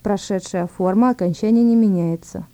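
A woman narrating a scripted language lesson as the instructor, giving words and phrases one by one with measured repetition. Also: mid-range voice, mellow, no echo. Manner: measured, word-by-word delivery with repetitions.

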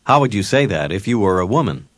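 Male lesson narrator, low and animated, dry booth. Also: quick pace, no echo. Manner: clear prompting teacher. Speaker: language course narrator. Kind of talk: scripted instruction.

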